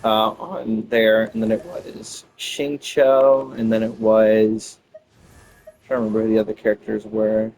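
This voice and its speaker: A man's voice: lowish voice, little pitch movement, unhurried, darkish tone, slight background noise, rough recording. A man giving casual gameplay commentary, rambling and thinking aloud as he goes. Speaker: gamer commenting on own playthrough.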